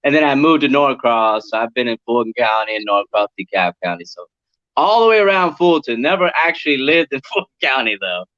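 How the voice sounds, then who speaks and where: A man's voice: medium pitch, a little fast. A man, an actor born in Puerto Rico and raised near Atlanta, chatting casually as a guest over a video call. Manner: relaxed, chatty, laughs easily. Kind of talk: casual interview chat. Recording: lo-fi online call audio.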